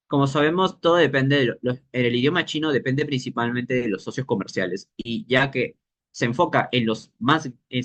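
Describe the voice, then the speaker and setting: Guy teaching a casual workshop, thinks out loud, pauses looking for words. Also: mid-range voice. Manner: explains thinking aloud, pausing to search for words. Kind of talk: informal workshop lesson.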